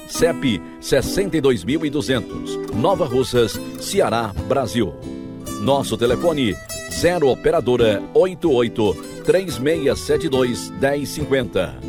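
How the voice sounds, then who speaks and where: Casting: male radio announcer, Brazilian accent, lively intonation, mid pitch, scripted read over loud guitar music.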